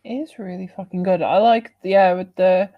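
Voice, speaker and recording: A woman's voice: fairly low pitch, fairly even intonation, normal speed, no room sound, highs cut off. A woman hosting a casual podcast chat, rambling and filling her talk with lots of "like" and "um". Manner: rambling, heavy on fillers. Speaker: podcast host.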